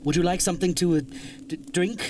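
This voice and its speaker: An actor playing a genteel older gentleman, formal and reassuring with a nervous edge, in a radio horror drama. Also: high-pitched, quick pace, noisy background, close to an everyday voice. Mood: anxious, solicitous. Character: genteel, soothing older gentleman keeping frightened companions calm.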